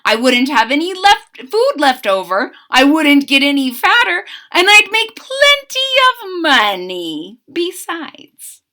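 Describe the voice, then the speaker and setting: Lady doing a chubby overexcited cook guy, big loud exclamations, pitched way up high. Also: sing-song, slow pace, few pauses, exaggerated voice. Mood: delighted. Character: plump excitable man who loves cooking.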